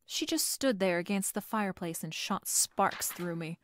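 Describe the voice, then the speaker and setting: A woman's voice: medium pitch, animated, normal speed, a little hiss or hum, lightly acted. A woman playing a breezy, wisecracking female private detective in a noir audio drama, narrating and bantering. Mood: wry narration. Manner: wisecracking, coolly playful.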